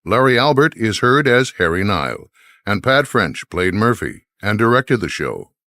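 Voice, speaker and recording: Low-voiced male radio announcer. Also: big pitch swings, normal speed, sharp tone, dry recording. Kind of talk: scripted radio credits announcement.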